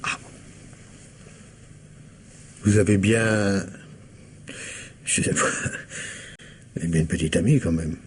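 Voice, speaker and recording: Police commissioner: low voice, wry and insistent, radio mystery, slightly noisy old recording. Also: male voice, slow, pauses often, a little mellow. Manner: insistent, hesitant feints while questioning. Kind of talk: French radio mystery drama acting.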